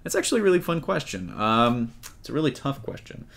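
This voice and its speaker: A man's voice: mid-range voice, very animated, fast talker, vocal fry, a bit bright. A man hosting a casual panel podcast about movies, talking in a jokey, loose way with some swearing. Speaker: podcast host. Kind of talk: casual comic-book movie panel chat.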